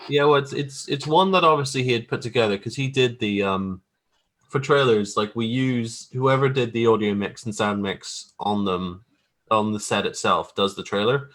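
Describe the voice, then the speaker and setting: A man's voice: medium pitch, normal speed, fairly bright tone. A man talking casually as an interview guest about his creative work, hesitant and thinking aloud. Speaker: guest, graphic artist.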